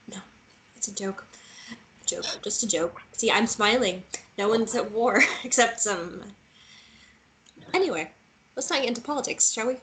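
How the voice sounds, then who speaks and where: A woman's voice: fairly high pitch, moderate pace, long pauses, a bit bright. Woman acting a chatty, vulnerable young woman. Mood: joking, teasing. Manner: casual, colloquial, teasing. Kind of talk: table read of a fantasy romance script.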